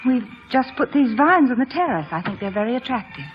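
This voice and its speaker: A woman's voice: sits high, big pitch swings, a little fast. An actress playing a proud, anguished young married woman in a dramatic, emotionally strained old radio style. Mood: guarded. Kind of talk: 1940s-50s radio drama acting. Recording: dull old radio broadcast with music.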